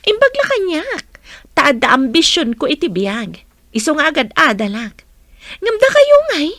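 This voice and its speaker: An actress with a northern Philippine accent playing a haughty, sharp-tongued, ambitious young woman in a radio drama, with a scornful, cutting manner. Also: sits high, wildly swooping pitch, moderate pace, no echo, studio quality, exaggerated voice.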